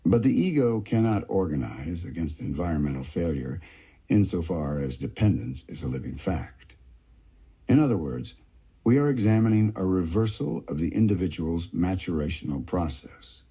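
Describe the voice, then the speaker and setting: A man with a very deep, dark voice reads a scholarly essay aloud in a slow, measured way. His tone is heavily husky and breathy, and he pauses to mark each section heading. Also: very animated. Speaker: reader of an essay. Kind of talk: audiobook-style reading of a psychoanalytic essay.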